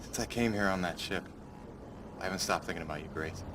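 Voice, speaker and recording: An actor playing an easygoing working-class rig pilot with a folksy, wry way of talking. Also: male voice, deep voice, fast talker, background noise, natural voice. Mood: tender, wistful.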